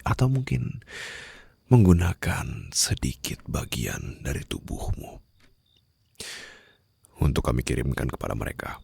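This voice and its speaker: A man playing a menacing, condescending mafia boss in an ASMR roleplay, with a gravelly, breathy voice that often drops toward a whisper. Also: rumbling low, darkish tone, no room sound, clean studio sound, heavily acted. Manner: slow, taunting, controlled threats. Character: menacing, condescending young male mafia boss holding a captive.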